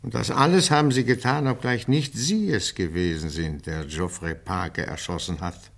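Radio drama inspector:, gravelly, probing, formal, pressing each point with measured insistence. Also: male voice, sits low. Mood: accusing, insistent. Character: stern, probing male police interrogator. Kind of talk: German radio crime drama acting.

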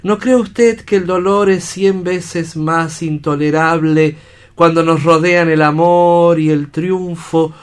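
A man playing a grave, compassionate doctor in a radio drama, formal and deliberate. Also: fairly high pitch, level tone, smooth. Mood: earnest.

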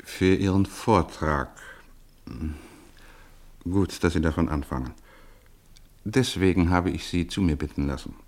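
An actor playing a polite, hesitant clinic chief in a radio play. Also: male voice, deep voice, measured pace, long pauses, darkish tone. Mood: courteous. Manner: courteous, hesitant. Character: older male clinic chief, polite, evasive. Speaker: professor, head of a clinic.

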